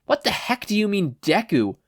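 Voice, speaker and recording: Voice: medium pitch, sing-song, normal speed, slightly rough, voice pushed up. A podfic reader voicing a brash, hot-tempered teenage boy hero student who grumbles, snaps and boasts. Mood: irritated.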